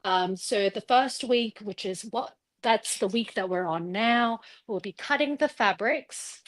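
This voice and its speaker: A woman's voice: medium pitch, a little slow. A woman guest explaining a quilt project in a friendly, rambling way with many fillers. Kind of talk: casual demonstration.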